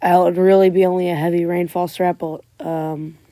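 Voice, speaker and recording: A woman talks through a tropical weather update in a casual way. Her voice is wearing thin, and she keeps clearing her throat and stumbling into restarts. The sound is dry and close, with no room in it. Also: on the low side, little pitch movement, dark tone, no echo. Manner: halting, many restarts and fillers. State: losing voice, frequent throat clearing. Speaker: amateur weather forecaster.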